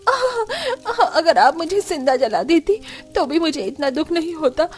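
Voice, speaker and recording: A woman voicing a gentle, troubled young daughter-in-law in an animated story. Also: sits high, normal speed, faint music behind. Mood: anguished. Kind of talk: animated story character dialogue.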